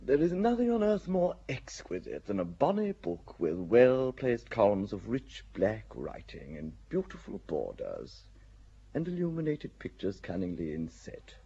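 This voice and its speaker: An actor playing a cool, cynical English nobleman in a radio drama, speaking in a languid, wry, unhurried way. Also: fairly low pitch, wildly swooping pitch, mellow, husky, muffled recording, heavily acted. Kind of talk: classic radio drama acting.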